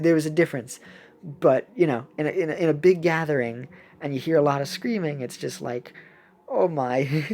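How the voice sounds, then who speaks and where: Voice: mid-range voice, darkish tone. A young adult giving casual, playful gameplay commentary in a rambling, chatty way. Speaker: gaming video host. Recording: clearly audible background noise.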